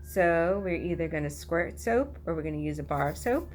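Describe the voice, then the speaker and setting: A woman's voice: lowish voice, lively intonation, normal speed, darkish tone, a little hiss or hum. A woman teacher talking to toddlers, giving instructions in simple, repeated phrases. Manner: simple, repetitive phrasing addressed to small children. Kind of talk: instructional talk and sing-along for toddlers.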